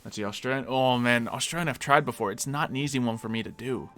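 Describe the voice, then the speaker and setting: Bright-voiced chatty streamer man. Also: medium pitch. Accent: slight Philadelphia. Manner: chatty, musing aloud, quick to laugh. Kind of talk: casual live-stream chat while gaming.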